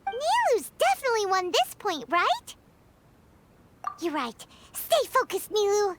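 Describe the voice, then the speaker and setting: Tiny squeaky fairy sidekick from a game, super high, slow and swoopy, eager. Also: female voice, huge pitch swings, a bit bright, slight background noise, full character voice. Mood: cheering. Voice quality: squeaky, childlike. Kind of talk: video game voice acting.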